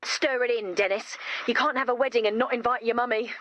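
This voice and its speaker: A woman's voice: a little high, steady tone, a little fast, a bit bright, no echo, highs cut off, lightly acted. A woman voicing an earnest, chatty young heroine with romantic ideals and a bossy streak in a video game. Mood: wry.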